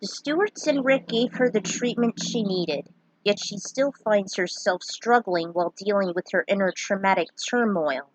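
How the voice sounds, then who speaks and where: Woman, mid-pitched, fan fiction narrator, theatrical, emphatic delivery, dry close recording. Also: normal speed, no echo, poor audio. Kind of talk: dramatic recap and reading of own fan fiction.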